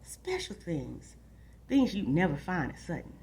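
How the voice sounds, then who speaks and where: An imaginative young boy longing for adventure tells his storybook tale in a woman narrator's voice. The voice is husky and a little low, dramatic and wistful, as if confiding in the listener. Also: big pitch swings, a little slow, darkish tone, heavily acted. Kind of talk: expressive storybook read-aloud.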